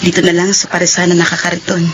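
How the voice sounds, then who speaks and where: A woman's voice: lowish voice, completely flat delivery, fast talker, natural voice. Woman acting a troubled young girlfriend, noisy recording. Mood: evasive, subdued. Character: troubled, withdrawn young working woman torn in love. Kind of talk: Filipino radio drama acting.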